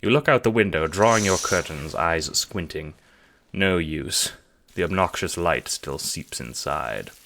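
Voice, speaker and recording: A man's voice: low voice, animated, measured pace, vocal fry, a bit bright, slight background noise. A man narrating a scripted story in the second person, in the style of an audio drama or audiobook. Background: music.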